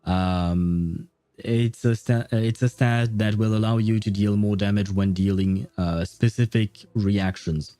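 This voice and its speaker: A man's voice: lowish voice, fairly even intonation, takes it slowly, dark tone, no room sound. A man livestreaming a game, explaining and commenting in a casual, informal, opinionated way. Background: game music.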